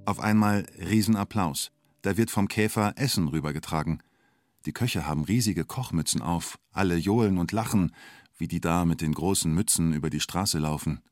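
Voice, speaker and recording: An actor as a male storyteller with a deep voice, observant and understated. He narrates a radio play in short, clipped present-tense phrases, very dry, over faint guitar music. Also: normal speed, dry recording, close to an everyday voice. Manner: terse, short fragmentary sentences, understated. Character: male storyteller following an onlooker at a siege, observant.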